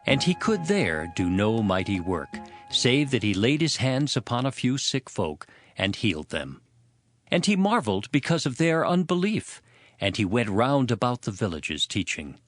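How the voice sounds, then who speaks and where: A man narrating a dramatized scripture production with measured, expressive storytelling. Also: medium pitch, no echo, studio quality. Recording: music behind the voice. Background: prominent background music.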